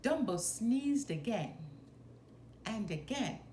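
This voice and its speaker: A woman narrates a children's storybook with lively, swooping pitch and a fairly high voice. She takes her time over each phrase in a deliberate, animated read-aloud style. The room adds noticeable echo. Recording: roomy echo, faint background noise.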